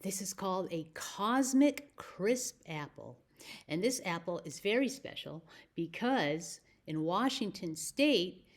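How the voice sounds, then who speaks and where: A woman with an American accent hosting a children's story time, speaking deliberately as if to young listeners. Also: fairly low pitch. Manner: slow, deliberate phrasing with questions to young listeners. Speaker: library story time host.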